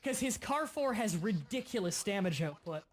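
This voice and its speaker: A young man hosting a casual gaming livestream, explaining game mechanics in quick bursts and sometimes stuttering. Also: high-pitched, sing-song. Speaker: livestream host. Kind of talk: casual gaming stream chat.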